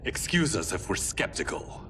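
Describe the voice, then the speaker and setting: A man's voice: fairly low pitch, very animated, moderate pace, slight background noise. A man playing an earnest, resolute warrior hero in a video game cutscene, speaking firmly and with command. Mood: stern. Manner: firm, commanding. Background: loud cinematic music.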